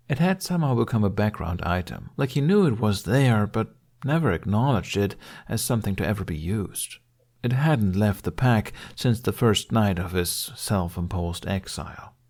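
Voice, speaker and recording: A man's voice: on the low side, a little mellow. A man reading scripted story narration as an audiobook narrator.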